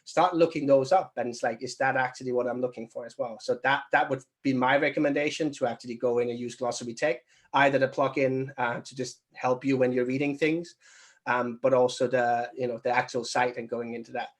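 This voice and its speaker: A man's voice: medium pitch, fairly even intonation, brisk. A man answering questions casually as a recruitment trainer, thinking aloud and rambling as he explains.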